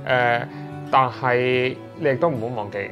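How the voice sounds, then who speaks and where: A Hong Kong Cantonese man in his thirties, interviewed in his flat by the sea. His voice sits in a middle pitch, and he hedges and restarts as he thinks aloud. Light music plays underneath. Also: normal speed. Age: 30s. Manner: hesitant, many fillers and restarts. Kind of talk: casual interview chat. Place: indoors in a sea-view flat.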